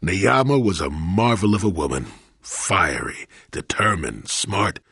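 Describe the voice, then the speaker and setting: Cantankerous old game mentor, very husky, low-pitched, wry, rueful, rambling storyteller. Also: male voice, animated, a little slow, a bit bright, no room sound, clean studio sound, full character voice. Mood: wistful, wry. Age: older. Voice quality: gravelly, husky. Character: gruff, rueful, cantankerous old male former Jedi. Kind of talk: scripted video game voice acting.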